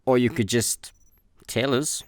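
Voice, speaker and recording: An actor playing an easygoing, scatterbrained intergalactic slacker with a Scottish accent, chatty and deadpan in a comedic sci-fi radio play. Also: male voice, medium pitch, a little slow, no room sound, clean studio sound, close to an everyday voice. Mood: casual banter.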